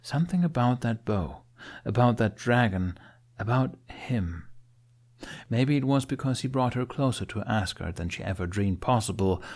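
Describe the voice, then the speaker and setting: A man's voice: mid-range voice, lively intonation, moderate pace, darkish tone. A man reading scripted fantasy narration as an audiobook narrator.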